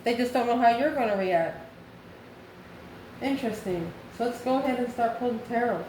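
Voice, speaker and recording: A woman's voice: a little high, moderate pace. A woman from the United States giving a casual, off-the-cuff tarot reading, musing aloud and answering her own questions. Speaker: tarot card reader. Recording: echoey room, clear background noise.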